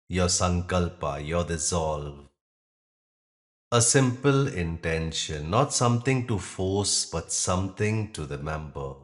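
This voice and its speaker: Man, meditation guide, deep low voice, very slow pace, calm, gentle, spacious pauses. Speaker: yoga nidra meditation guide.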